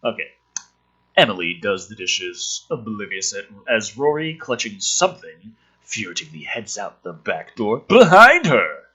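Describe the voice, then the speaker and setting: A man's voice: lowish voice, very animated, measured pace, sharp tone, husky, low-quality recording. A man sarcastically reading through a film script and commenting on it in a profane, mocking, theatrical way. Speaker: host of a script review show.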